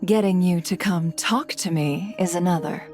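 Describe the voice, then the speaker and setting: A woman's voice: lowish voice, moderate pace, a little mellow, lightly acted. An actress playing a sly, elegant, calculating female spymaster in a video game, courteous and knowing. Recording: music behind the voice.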